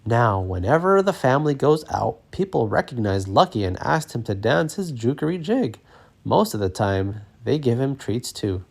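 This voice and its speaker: Male storybook narrator, medium pitch, very expressive and lively, reading aloud for kids. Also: normal speed, creaky. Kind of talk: expressive children's read-aloud.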